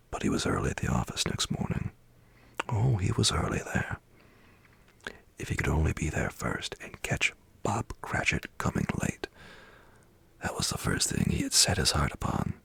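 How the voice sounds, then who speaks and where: Male ASMR storyteller, extremely low and gravelly, hushed, unhurried, for bedtime tales. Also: no room sound. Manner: hushed, dramatic reading. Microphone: close mic.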